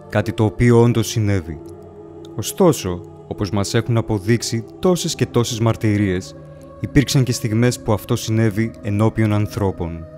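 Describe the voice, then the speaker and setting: A man playing a haunted, bewildered wanderer who narrates a gothic horror tale in a solemn, measured, dramatic way. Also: on the low side, a little mellow, natural voice. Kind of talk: dramatic first-person audiobook narration.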